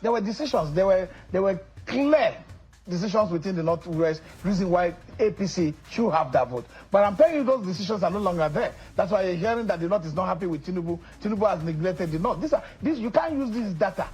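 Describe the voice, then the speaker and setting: Fast, insistent Nigerian man, high voice. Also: animated, darkish tone, slightly rough. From northern Nigeria. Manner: insistent, repeats words when pressing a point. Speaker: political guest. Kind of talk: heated political interview.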